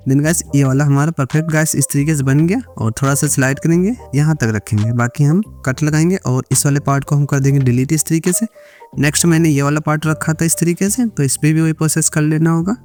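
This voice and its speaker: A man's voice: fairly high pitch, steady tone, fairly quick, darkish tone, soft background music. An Indian man narrating a step-by-step editing tutorial, mixing English words into repetitive instructions. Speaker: video editing tutorial creator.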